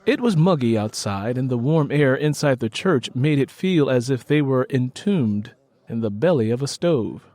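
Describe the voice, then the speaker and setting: A man's voice: mid-range voice, expressive, moderate pace, mellow, no room sound. A man reading a scripted story as an audiobook narrator, in a dramatic storytelling style.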